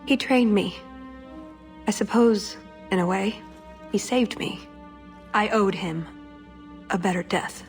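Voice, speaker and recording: A woman's voice: medium pitch, slow, long pauses. A woman playing an earnest, wry young elf agent in a dramatic video game scene. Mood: solemn, heartfelt. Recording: music behind the voice.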